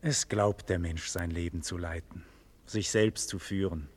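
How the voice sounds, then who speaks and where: An actor playing a dignified condemned nobleman in a 1940s German radio play, declaiming solemnly with breathy, husky murmurs and loud cries. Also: sits low, full character voice. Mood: resigned. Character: doomed nobleman facing execution, dignified, passionate, grave. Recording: vintage 1940s radio studio recording.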